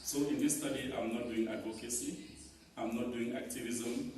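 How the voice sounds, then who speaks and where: A man's voice: mid-range voice, fairly even intonation, darkish tone. Cameroonian male scholar, thoughtful Q&A delivery, distant mic, faint hum of the room. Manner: measured, repeats words while thinking. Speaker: conference speaker. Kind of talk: academic Q&A answer. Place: conference hall. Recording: echoey hall from far off.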